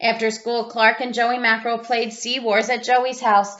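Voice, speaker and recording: A woman reading a children's picture book aloud with expressive storytelling narration. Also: on the high side, normal speed, dull audio.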